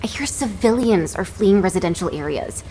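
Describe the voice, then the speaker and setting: Blunt mid-pitched female fighter, played by an actress. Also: expressive, moderate pace, warm tone, a little hiss or hum, natural voice, poor audio. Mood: frustrated. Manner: blunt, informal. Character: blunt, level-headed female fighter in sci-fi game.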